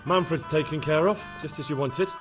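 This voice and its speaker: A man's voice: on the high side, a little fast, over music, close to an everyday voice. An actor playing a sly, companionable young man in a game, direct and inquisitive. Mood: curious. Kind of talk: video game cutscene acting.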